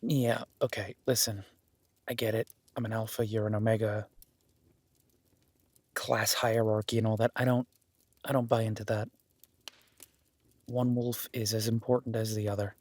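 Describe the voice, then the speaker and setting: A man's voice: on the low side, flat delivery, moderate pace, long pauses, darkish tone, dry recording, lightly acted. A man playing an awkward, self-deprecating young werewolf duke in a roleplay audio drama, stammering and apologetic, speaking informally to the listener. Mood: earnest, reassuring.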